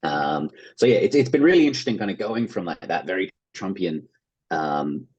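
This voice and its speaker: Deep, husky Australian man chatting. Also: animated, normal speed, a little mellow, muffled recording. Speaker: interview guest, playwright and actor. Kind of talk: casual interview chat.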